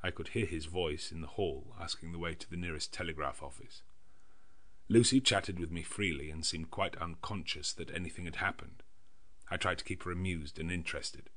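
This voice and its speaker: Deep-voiced male audiobook narrator. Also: a bit bright. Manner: measured, literary, diary-like delivery. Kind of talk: scripted narration of a gothic novel.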